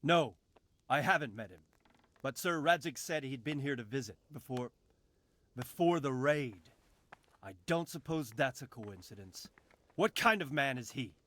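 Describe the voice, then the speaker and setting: A man's voice: medium pitch, animated, unhurried, a bit bright, no echo. An actor playing a decisive nobleman who gives orders in a game cutscene, authoritative. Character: commanding middle-aged lord, decisive. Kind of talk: video game cutscene acting.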